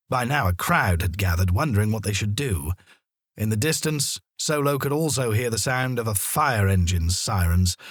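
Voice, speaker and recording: Brief: male children's narrator, expressive and animated, slightly rough-edged voice, close dry sound. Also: medium pitch, dry recording. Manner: dramatic, expressive storytelling.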